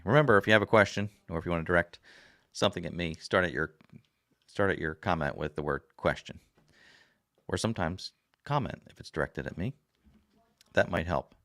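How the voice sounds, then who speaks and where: Host, male, raspy from a recent sore throat, very low voice, dry close sound, folksy rambling chat at a desk. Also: fairly bright tone, no room sound. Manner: rambling and folksy, many fillers. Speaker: livestream host. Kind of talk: casual chat reading viewer comments. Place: home desk. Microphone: desk camera.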